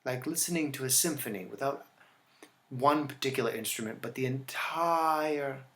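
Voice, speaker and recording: A man with a mid-pitched voice leads a guided relaxation session as a yoga teacher. He speaks calmly and instructively, leaving deliberate pauses between short phrases.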